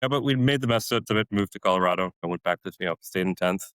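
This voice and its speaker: Deep-voiced man telling lively war stories. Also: quick pace, clear, resonant tone, no echo, studio quality. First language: Polish. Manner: animated, laughs often, voices other people in his stories. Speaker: podcast guest, retired Army warrant officer. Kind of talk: casual conversational storytelling.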